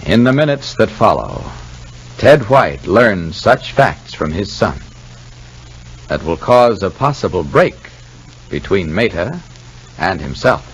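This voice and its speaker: A man narrating and announcing in 1950s radio soap style, with deliberate, dramatic, emphatic phrasing. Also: low-pitched, big pitch swings, slightly rough, rough recording.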